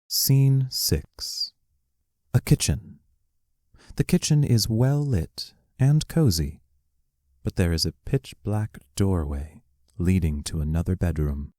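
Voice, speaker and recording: A man narrates an audio play, reading out stage directions. His voice is deep and his delivery slow and measured. The recording is dry, with no noticeable room. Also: animated, warm tone, dry recording. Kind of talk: scripted narration.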